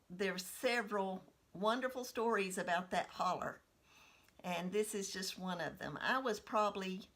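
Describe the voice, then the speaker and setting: A woman in her sixties shares a story from her own childhood. Her pitch sits in a middle range for a woman. She takes her time and speaks in a reminiscing, personal way. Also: fairly bright tone. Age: 60s.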